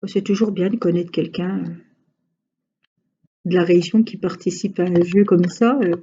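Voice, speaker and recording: A woman's voice: lowish voice, steady tone, moderate pace, warm tone, breathy edge, highs cut off. Chatty woman with a Franche-Comté accent. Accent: Franche-Comté French. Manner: chatty, rambling, frequent hesitations. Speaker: crafting vlogger. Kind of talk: casual chat while crafting.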